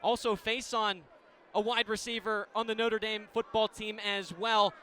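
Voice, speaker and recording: An American man doing live radio play-by-play of a game in clipped descriptive phrases, ready to burst into excited calls. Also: high voice, measured pace. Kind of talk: live sports play-by-play commentary. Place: indoor stadium broadcast position. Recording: live radio with steady venue noise.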